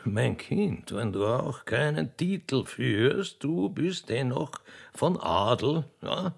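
A man playing a lecherous, flattering aristocrat with an Austrian accent and an oily, repetitive manner in a radio play. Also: medium pitch, slow pace, heavily acted. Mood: flirtatious. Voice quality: gravelly, husky.

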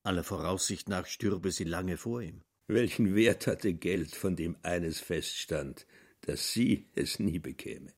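A man with a husky voice narrating a literary radio play. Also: deep voice, slow, full character voice.

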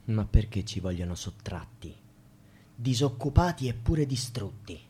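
An actor playing an impassioned protester, declaiming rhymed verse in a rhetorical way in a radio drama, calling on the people. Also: male voice, lowish voice, big pitch swings, unhurried, dark tone, husky, heavily acted. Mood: solemn appeal. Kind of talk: theatrical radio drama monologue in rhythmic verse. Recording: music behind the voice.

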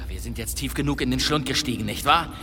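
An actor playing a taunting, suspicious and defiant demon hunter in a German fantasy radio drama. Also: male voice, mid-range voice, fast talker, background noise, lightly acted. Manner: taunting, accusatory. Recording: long cavernous reverb, music underneath.

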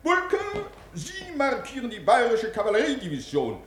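High-pitched, pompous prison director. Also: male voice, wildly swooping pitch, moderate pace, heavily acted. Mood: pleased, instructing. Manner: declamatory, commanding, schoolmasterly. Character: pompous, excitable prison director drilling inmates in war games. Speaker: actor in a radio play. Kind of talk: 1950s German radio play acting. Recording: roomy, faintly noisy 1950s radio recording.

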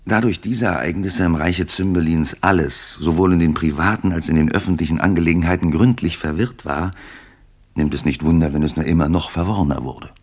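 A man's voice: low-pitched, a little fast, a little mellow, slightly rough. A man narrating a classical radio drama as a dry, wry storyteller. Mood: dry, composed.

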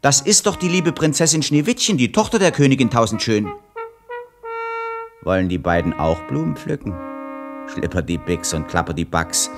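Male actor as cheeky puppet-theatre fool, very animated, playful, good-hearted, radio play, brass music behind. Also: mid-range voice, normal speed, lightly acted. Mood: chatty, curious. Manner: playful, exclaims silly nonsense oaths.

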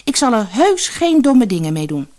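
A woman's voice: fairly high pitch, very animated, normal speed, warm tone, pitched above the performer's own voice. A woman voicing a naive, kind-hearted, eager boy in a fairy tale, earnest and a little bashful. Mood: earnest promising.

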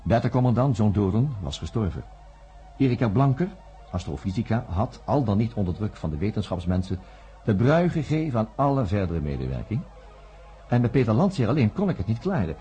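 An earnest, self-doubting psychiatrist in a radio play. His voice is mellow and relaxed in tone but moves widely in pitch. He sounds reflective and a little hesitant, with music behind him. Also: a little fast. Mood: sombre narration. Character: earnest, self-doubting younger psychiatrist, former student of a professor. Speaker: narrator and lead character. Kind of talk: radio drama acting with first-person narration.

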